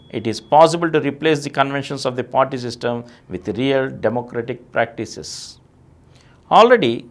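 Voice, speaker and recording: Indian-accented man reading formally. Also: medium pitch. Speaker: reader, narrator. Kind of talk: scripted reading of a political essay.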